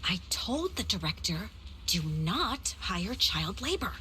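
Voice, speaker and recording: A woman voicing a bossy, fussy film crew member in a video game, with a brisk, scolding manner. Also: mid-range voice, sing-song, sharp tone, slight background noise, full character voice, poor audio.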